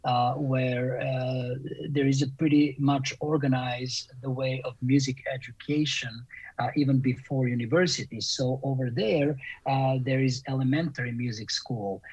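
A middle-aged man with a Serbian accent, a composer and professor, answering interview questions in a halting, reflective way with many pauses. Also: mid-range voice, steady tone, darkish tone, slightly rough, no echo, rough recording.

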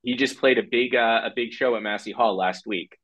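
A man's voice: medium pitch, brisk, fairly bright tone. A man from Canada hosting an interview, talking as an eager fan who laughs easily. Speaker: podcast host interviewer. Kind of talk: casual interview.

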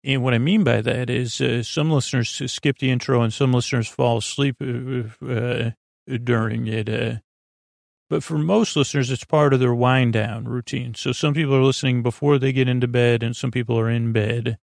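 A man's medium-pitched voice with a creaky, fried edge, recorded close and dry. He rambles through an improvised bedtime podcast monologue, stumbling over words and wandering into tangents. His delivery is absent-minded and self-deprecating. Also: steady tone, no room sound. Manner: halting and meandering, stammers and trails off into tangents. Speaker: host of a bedtime sleep podcast.

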